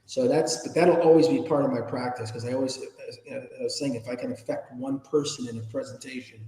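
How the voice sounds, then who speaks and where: A man's voice: mid-range voice, fairly even intonation, a little fast, warm tone. A middle-aged man answering interview questions in a plain-spoken, conversational way, explaining with examples, in an echoey room. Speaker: podcast guest, ethics trainer and public speaker. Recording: echoey room with long reverb.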